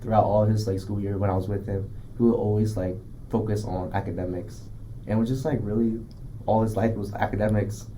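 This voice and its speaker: A man's voice: on the low side, steady tone, brisk, dark tone, a little hiss or hum, rough recording. A young man chatting casually as a podcast guest, rambling and packing his talk with filler words. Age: young adult. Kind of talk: casual chat.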